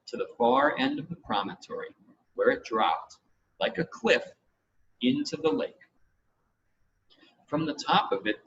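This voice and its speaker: A man reading a children's adventure story aloud in expressive scripted narration. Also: on the high side. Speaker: audiobook reader. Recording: dull, lo-fi with audible background noise.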